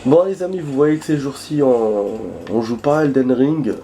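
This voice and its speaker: A man commenting on a video game while hoarse from a cold, rambling chattily and swearing in surprise at the action. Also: mid-range voice, lively intonation, normal speed, warm tone. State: hoarse from a cold, losing his voice. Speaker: gaming video host. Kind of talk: casual let's-play commentary. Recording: background noise and game sounds.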